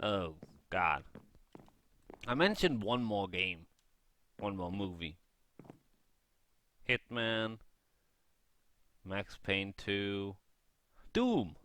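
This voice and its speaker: Low-voiced man, clear, casual rambling vlogger. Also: lively intonation, a bit bright. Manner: unscripted, halting, thinking aloud. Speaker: gaming vlogger. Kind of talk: casual rambling review to viewers.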